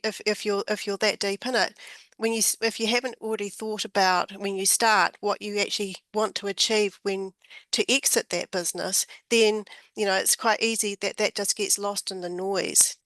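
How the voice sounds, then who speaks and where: Woman with a croaky voice, dry sound. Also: mid-range voice, fairly bright tone, no echo. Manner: thoughtful, repeats words while thinking. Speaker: guest advisor. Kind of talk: webinar discussion.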